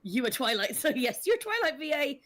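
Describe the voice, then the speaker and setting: A woman's voice: high voice, very animated, brisk, a bit bright. A woman, a voice actor, chatting casually and teasing fellow panelists in an online group call.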